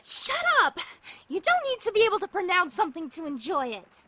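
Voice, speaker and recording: A woman's voice: extremely high pitch, sing-song, brisk, sharp tone, no echo, exaggerated voice. A woman voicing a perky, eager girl in an audio drama, chatty and cheerful. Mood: indignant. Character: perky, eager girl who loves snacks. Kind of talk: amateur audio drama acting.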